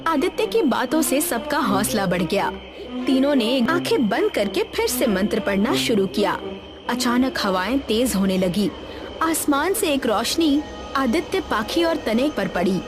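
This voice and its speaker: A woman narrates a scripted children's story in a fairly high voice. Music plays clearly behind her words. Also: big pitch swings, unhurried. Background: background music.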